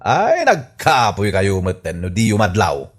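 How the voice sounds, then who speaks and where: A man's voice: on the low side, unhurried, husky, exaggerated voice. A man playing a gossipy, teasing village man in an Ilocano radio comedy drama, speaking with an Ilocano accent. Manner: teasing, animated.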